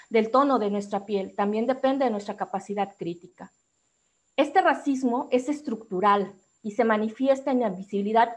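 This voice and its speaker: A woman with a Mexican accent speaking as an activist on a discussion panel, delivering a prepared formal talk in a measured, articulate way. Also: fairly high pitch.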